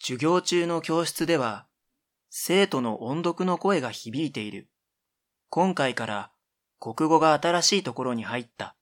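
A man's voice: a little high, expressive, a little slow. A man voicing a dry-witted, exasperated middle-school boy who narrates and makes matter-of-fact retorts in a comedic radio drama. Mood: dry narration.

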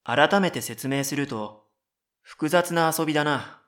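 A man's voice: fairly high pitch, unhurried, a bit bright. A man voicing a dry-witted, exasperated middle-school boy who narrates and makes matter-of-fact retorts in a comedic radio drama.

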